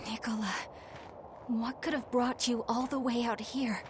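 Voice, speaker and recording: An actress playing a quiet, grave young woman in a video game cutscene. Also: a little high, little pitch movement, a little slow, background noise, close to an everyday voice. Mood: troubled.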